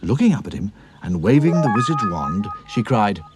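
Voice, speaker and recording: A man narrating a children's cartoon story in an expressive storybook style. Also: lowish voice, normal speed, background noise.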